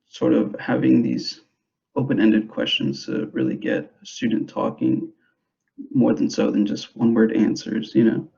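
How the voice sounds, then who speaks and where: A young man who grew up in the United States, giving an informal presentation to parents in a hesitant, halting way, on a dull, muffled recording. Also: medium pitch, vocal fry, mellow. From grew up in the United States, born in El Salvador. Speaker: school substance abuse prevention specialist.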